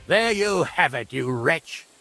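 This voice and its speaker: An actor playing a boastful bailiff who poses as a chivalrous hero, with pompous courtly flourishes, in a period video game. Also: male voice, on the high side, expressive, slow pace, sharp tone, soft background music, full character voice. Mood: bold, triumphant.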